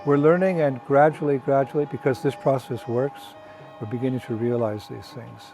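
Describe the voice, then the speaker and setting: An older man, a traveling monk, speaking in a soft, halting way with many pauses and restarts, outdoors on a walking pilgrimage. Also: medium pitch, steady tone, gravelly, soft background music. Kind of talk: casual spoken reflection.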